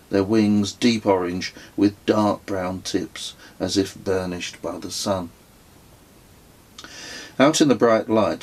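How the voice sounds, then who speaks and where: A man reading his own memoir as an audiobook, in measured, descriptive storytelling narration. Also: fairly low pitch, rough recording.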